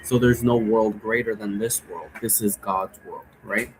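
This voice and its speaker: A man's voice: on the low side, steady tone, unhurried, a little hiss or hum, rough recording. A man giving a casual, rambling explanation in an informal way, often checking for agreement. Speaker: game creator explaining rules.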